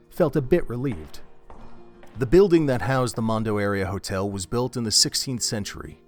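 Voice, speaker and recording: A man narrating an audio drama in a steady, descriptive storytelling manner. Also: mid-range voice.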